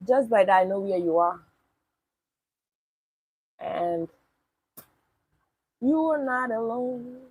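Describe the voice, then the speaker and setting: A young woman talks straight to her live chat in a husky, breathy voice, pitched a little high. She is blunt and swears freely when she answers comments. The sound is dry and close, with no room echo. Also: lively intonation, slow, pauses often, a little mellow. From Nigeria. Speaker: live streamer. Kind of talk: casual live stream chat.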